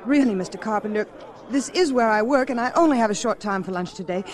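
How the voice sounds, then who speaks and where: An actress playing a concerned, gently skeptical mother in a radio drama, soothing yet firm and polished. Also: on the high side, animated, fast, some chatter behind, lightly acted. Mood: polite, guarded.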